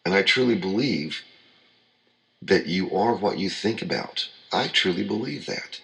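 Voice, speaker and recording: Very deep gravelly man giving a pep talk. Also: animated, a little slow, fairly bright tone, rough recording. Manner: earnest, repetitive, heartfelt. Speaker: motivational show host. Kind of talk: motivational talk.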